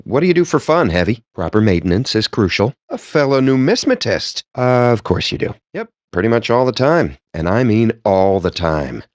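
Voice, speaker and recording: An actor playing a wry, smug, wordy gamer in video game banter, sardonic and theatrically articulate. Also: male voice, lowish voice, no echo, studio quality. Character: wry, verbose, smug male gamer and card player.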